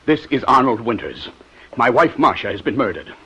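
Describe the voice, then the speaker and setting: A man's voice: medium pitch, sing-song, normal speed, fairly bright tone, slightly rough. A man playing an agitated, wealthy banker husband in overwrought 1950s radio acting. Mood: frantic. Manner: overwrought, theatrical. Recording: muffled old radio recording.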